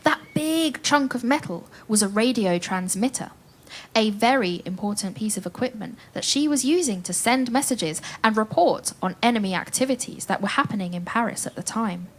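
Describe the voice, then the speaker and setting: A woman playing an expressive storyteller in an audio play, addressing the listeners as she narrates. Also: a little high, moderate pace. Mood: inviting, reflective. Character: storyteller woman, calm yet dramatic, playing a brave spy.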